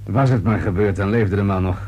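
Husky, dry male police inspector. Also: fairly low pitch, a little fast, a little mellow. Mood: pressing, dry. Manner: terse, clipped questioning. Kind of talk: radio play acting.

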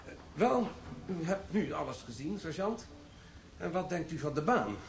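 An actor playing a formal, coolly dry security manager in a radio play. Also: male voice, a little high, lively intonation, moderate pace, roomy sound, a little hiss or hum. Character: stern middle-aged security manager, dry and cool.

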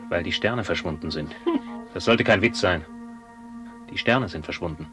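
An actor playing an agitated, self-important tycoon who speaks urgently and insistently in a radio play. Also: male voice, low voice, normal speed, over music, lightly acted. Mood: urgent, disbelieving.

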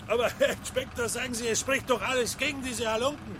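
High-voiced pompous major. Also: male voice, fairly even intonation, fairly quick, a crowd in the background. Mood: prying. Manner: polite, insistent, pompous. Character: politely prying, self-important male village major. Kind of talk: radio drama acting.

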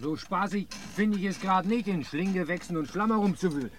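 A man's voice: high voice, natural voice. A man playing a skeptical, mocking assistant to a police commissioner in an old radio crime drama. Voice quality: quick, mocking and sceptical. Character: skeptical, teasing young male police assistant.

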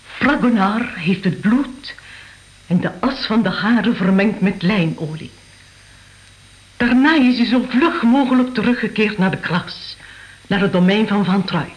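Slow, stately aristocratic lady played by a woman. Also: mid-range voice, lightly acted, low-quality recording. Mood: solemn storytelling. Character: aristocratic lady descendant telling her ancestral family history. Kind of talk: radio drama storytelling.

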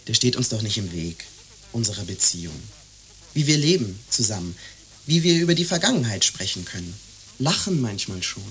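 A man's voice: on the low side, sing-song, normal speed, fairly bright tone. Exasperated young man, faint hiss. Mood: defensive. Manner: emphatic, repetitive, argumentative. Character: exasperated young man defending his lost love's keepsakes. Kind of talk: radio play dialogue acting.

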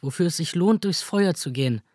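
A man's voice: on the high side, animated, a little fast, a little mellow. Weary man narrating a radio drama. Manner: rough, weary inner monologue with coarse words. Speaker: narrator and lead character.

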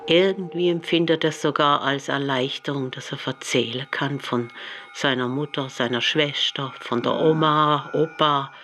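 A man's voice: medium pitch, steady tone, normal speed, pushed and bright. A man narrating a scripted radio docudrama in the present tense. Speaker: second narrator. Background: music.

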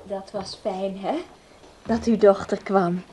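An actress playing a kindly, reassuring hospital nurse in a radio play, coaxing and chatty in manner. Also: female voice, medium pitch, steady tone, slow, background noise, natural voice. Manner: coaxing, solicitous.

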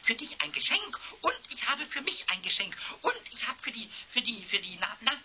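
A man voicing a cheeky, childlike little kobold in a 1970s children's radio play, squeaky and stammering with excitement. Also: fairly quick, heavy background noise. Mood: excited, secretive. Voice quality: squeaky, shrill, piping.

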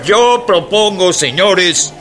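A man playing a brisk Mexican revolutionary who chairs a convention in a radio drama, formally calling for order. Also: high-pitched, expressive. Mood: formal, urgent. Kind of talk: historical radio drama acting. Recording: loud crowd behind.

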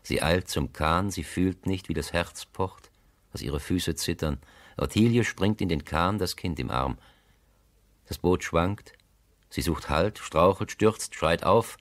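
Grave storyteller in a radio drama, deep voice, very dry studio sound. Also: male voice, slow pace, no room sound. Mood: grave, urgent. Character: grave male narrator of a tragic tale. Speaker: narrator. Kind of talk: dramatic radio play narration in short present-tense phrases.

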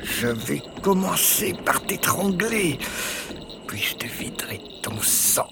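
An actor playing a bloodthirsty vampire count, speaking in a slow, gravelly, sinister way. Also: male voice, on the high side, big pitch swings, noisy background, exaggerated voice. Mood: gloating.

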